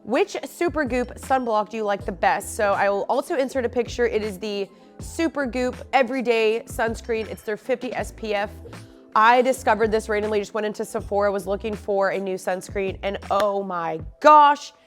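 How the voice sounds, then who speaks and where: A young woman talks to her viewers from her living room with a stuffy, sniffly nose. Her voice sits at a middle pitch for a woman, and she rambles along in an open, friendly way with plenty of fillers. Faint music plays softly behind her. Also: moderate pace. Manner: chatty, open, lots of fillers. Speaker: faith and lifestyle vlogger. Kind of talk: casual chat to camera.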